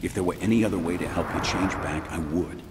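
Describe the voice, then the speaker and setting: A man's voice: sits low, fast, noisy background, natural voice. A man playing an earnest, weary young superhero in a video game cutscene. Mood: regretful.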